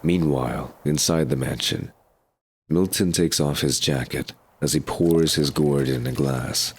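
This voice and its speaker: A man's voice: a bass voice, a little mellow, a little hiss or hum. A man reading scripted narration for an audio drama.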